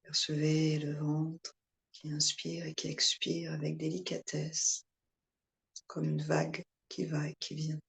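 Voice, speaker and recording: A woman yoga instructor guiding a relaxation meditation in calm, soothing short phrases with pauses. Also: low voice, creaky.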